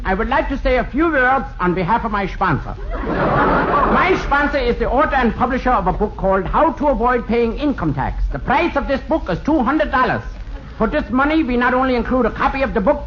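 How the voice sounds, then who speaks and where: An actor playing a zany, boastful, incompetent surgeon in 1950s radio comedy, theatrical and mock-pompous, with old-fashioned inverted phrasing. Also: male voice, very high-pitched. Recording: noisy, muffled 1950s radio recording.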